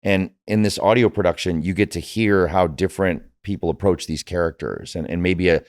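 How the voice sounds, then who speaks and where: A man's voice: low voice, steady tone, brisk, no echo, studio quality. A man who works as a voice actor, chatting casually in a discussion, feeling his way through his thoughts in a hesitant manner. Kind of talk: casual chat about favorite comics and films.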